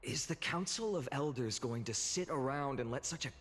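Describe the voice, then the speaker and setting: An actor playing an indignant but deferential political subordinate in a video game, with dramatic delivery. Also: male voice, mid-range voice, expressive, normal speed, natural voice. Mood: alarmed, indignant. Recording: echoey hall, faint background ambience.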